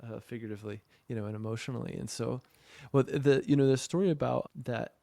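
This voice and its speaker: A man hosting an interview as a trauma therapist, reflecting on his guest's story in a measured, affirming way. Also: mid-range voice, steady tone, gravelly, warm tone, dry recording. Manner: measured, affirming, frequent fillers. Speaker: podcast host, trauma therapist.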